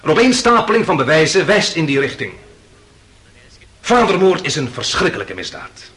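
Radio play defence lawyer: high male voice, very animated, rhetorical and impassioned. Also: moderate pace. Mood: impassioned, reasoning. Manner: rhetorical, declamatory, emphatic. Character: impassioned defence lawyer, grandly appealing to a jury. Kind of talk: Dutch radio play acting, courtroom oratory.

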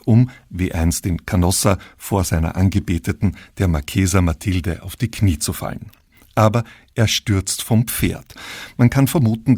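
Male presenter, scripted drama introduction, lowish voice, articulate, no room sound. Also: a little mellow, husky, no echo, clean studio sound. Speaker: radio presenter. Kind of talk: scripted introduction to a radio play. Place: dry radio studio. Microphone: close studio microphone.

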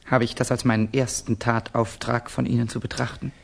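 A slightly rough, husky male voice at a middling pitch. He is a reserved young Russian student who chooses his words formally and politely, always guarded. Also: little pitch movement, fairly quick. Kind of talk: radio drama acting.

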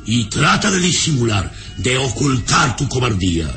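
A man's voice: mid-range voice, big pitch swings, moderate pace, bright, forceful tone, faint music behind. A man playing an imperious, scornful baron and father in a theatrical, haughty, commanding radio serial style. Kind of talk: old radio serial acting.

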